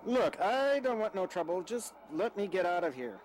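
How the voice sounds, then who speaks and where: A man's voice: sits high, very animated, normal speed, darkish tone. A man playing a nervous, sheepish lover caught out, hesitant and evasive, in an audio drama. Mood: defensive, nervous. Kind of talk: radio-play style dramatic acting. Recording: faint background noise.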